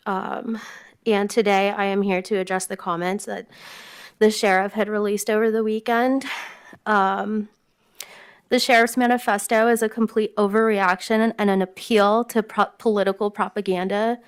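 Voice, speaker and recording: Woman with a small, mousy, smooth voice; reads an earnest prepared statement at a council podium. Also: mid-range voice, flat delivery, moderate pace. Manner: earnest, reading prepared remarks with ums. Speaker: public commenter. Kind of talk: public comment at a council meeting. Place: city council chamber.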